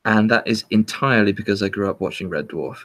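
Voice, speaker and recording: A man's voice: on the low side, fast talker, fairly bright tone. A man from southern England with a southern English accent, hosting a casual chat and laughing often. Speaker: host.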